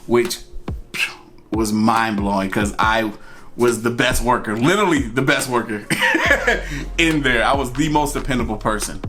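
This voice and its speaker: An American man telling a personal story in a casual, conversational way, acting out bits of dialogue and repeating phrases for emphasis. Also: medium pitch. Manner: animated retelling, acting out dialogue. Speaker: storyteller and vlog host.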